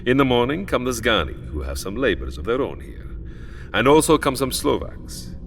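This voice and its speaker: An actor playing a sinister, courtly old vampire count with grave, theatrical politeness in an audio drama. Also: male voice, mid-range voice, very animated, moderate pace, vocal fry, soft background music. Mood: grave, formal.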